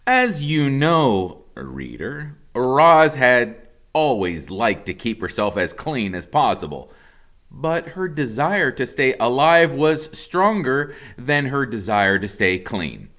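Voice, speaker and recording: A man reading a children's storybook aloud expressively, with deliberate dramatic emphasis and hushed drops. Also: medium pitch, very animated.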